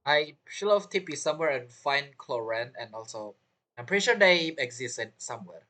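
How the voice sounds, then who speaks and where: A game streamer with a husky voice giving casual let's-play commentary. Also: mid-range voice, expressive, moderate pace, bright, forceful tone.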